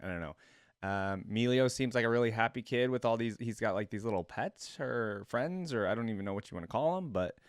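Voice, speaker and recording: A man's voice: medium pitch, animated, fairly quick, clear, resonant tone, dry recording, clean studio sound. Casual, rambling man reacting on camera. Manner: rambling, conversational, thinking out loud. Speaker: reaction video host. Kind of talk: casual reaction commentary with some reading aloud.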